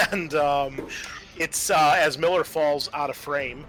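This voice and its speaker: A man's voice: on the high side, fairly even intonation, takes it slowly, fairly bright tone. A man acting as game master, narrating and making rulings in a casual, profane way. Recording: music behind the voice.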